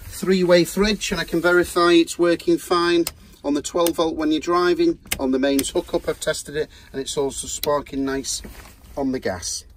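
A man's voice: on the high side, fairly even intonation, normal speed, a little hiss or hum. A man giving an upbeat, chatty walkthrough of a small camper van, filming on a handheld phone. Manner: chatty, upbeat sales patter. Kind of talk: handheld walkaround video tour. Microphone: phone camera held in hand.

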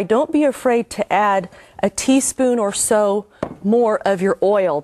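A woman's voice: medium pitch, a little mellow. Chatty woman hosting a cooking demo. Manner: homey, enthusiastic, chatty instructions. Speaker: cooking show host. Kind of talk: cooking demonstration.

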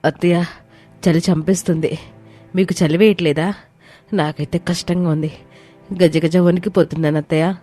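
A woman's voice: fairly low pitch, fairly even intonation, normal speed, pauses often, dark tone, lightly acted. Woman playing young daughter-in-law, plaintive, defiant, argumentative, dramatized family story, background music. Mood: whining. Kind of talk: dramatized moral story voice acting. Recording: music behind the voice.

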